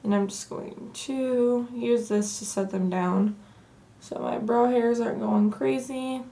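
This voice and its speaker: A young woman with a Southern accent, hoarse from allergies, chatting casually through a makeup tutorial. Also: on the high side, slow pace. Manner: chatty and relaxed, says "like" often. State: hoarse from allergies, losing her voice. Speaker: beauty vlogger. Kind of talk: casual get-ready-with-me tutorial.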